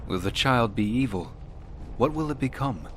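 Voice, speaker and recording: A man's voice: medium pitch, lively intonation, moderate pace, fairly bright tone, faint music behind, lightly acted. An actor playing an earnest young male warrior hero in a fantasy game, with a plain, serious, questioning manner. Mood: wary. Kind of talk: dramatic fantasy game dialogue.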